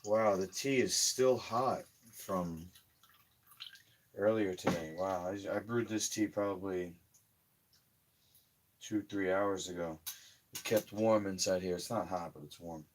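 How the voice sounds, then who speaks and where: A hoarse man talking casually in a slow, rambling health vlog, often trailing off quietly. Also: low voice, steady tone, slight background noise. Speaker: health vlogger.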